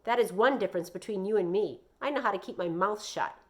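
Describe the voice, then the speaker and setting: A woman's voice: mid-range voice, fast, darkish tone, natural voice. A woman reading a children's novel aloud, voicing an exasperated, blunt and teasing mother. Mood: irritated.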